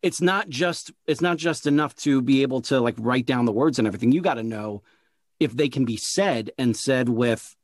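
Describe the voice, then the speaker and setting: A man hosts a relaxed interview, talking through his thoughts with frequent restarts and repeated words. The recording is dry with no room sound. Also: mid-range voice, moderate pace, dry recording. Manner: rambling, repeats words while thinking. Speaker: interview host and producer. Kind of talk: casual interview conversation.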